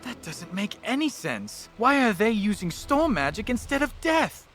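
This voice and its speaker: A man's voice: sits high, big pitch swings, normal speed, fairly bright tone, soft background music. An actor playing an anxious, earnest boy wizard in a fantasy game, speaking urgently. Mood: puzzled. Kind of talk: fantasy game character dialogue.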